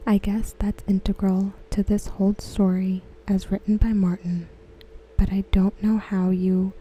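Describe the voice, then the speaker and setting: A woman reading aloud in a soft, breathy, near-whispered ASMR style, gentle and measured, close to the microphone. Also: medium pitch, a little hiss or hum, poor audio. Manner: gentle, hushed, measured delivery. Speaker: ASMR host. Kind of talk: softly spoken ASMR read-aloud of forum comments.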